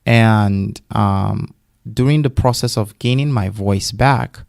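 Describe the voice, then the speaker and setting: A man with a gravelly, fry-edged voice, talking in a casual podcast interview. His delivery is animated and emphatic as he tells stories from his singing life. The sound is dry and close, with no room around it. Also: on the low side, slow pace, no echo. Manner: animated, emphatic storytelling with laughs. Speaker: guest singer.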